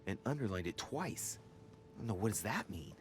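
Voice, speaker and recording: An actor playing an inquisitive young man investigating a mystery in a video game, musing aloud in a deliberate, theatrical way. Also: lowish voice, very animated, a crowd in the background. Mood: musing, curious. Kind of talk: dramatic game narration, thinking aloud.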